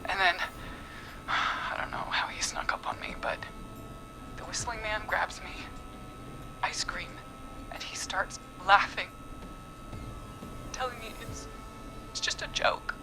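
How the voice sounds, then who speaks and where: A woman's voice: medium pitch, slow, long pauses, over music, poor audio. An actress playing a vengeful, unstable young woman in a video game, dramatic and bitter, often dropping into whispers. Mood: bitter, menacing.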